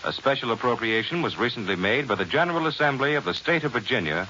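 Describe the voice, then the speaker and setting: A man's voice: medium pitch, lively intonation, fast, sharp tone, lo-fi sound. An American man announcing a scripted sponsor message in 1940s radio style, on an old recording. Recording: hissy, band-limited 1940s radio recording.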